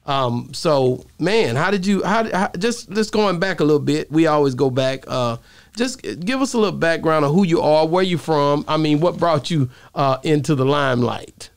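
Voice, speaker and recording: A man in his thirties hosts a podcast in a loose, rambling, laid-back way. His voice is gravelly and sounds dry and close on the mic. He fills pauses freely as he talks. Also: fairly high pitch, expressive, no echo. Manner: laid-back, rambling with many fillers and restarts. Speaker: podcast host. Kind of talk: casual interview chat. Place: podcast studio, indoors. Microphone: studio mic on arm, headphones.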